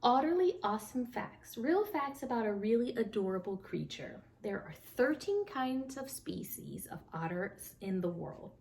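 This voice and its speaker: A woman reading a picture book aloud to children, speaking slowly and expressively, talking directly to the kids. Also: on the high side, creaky, slight background noise. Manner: warm, slow and expressive, addressing kids. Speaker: storytime reader.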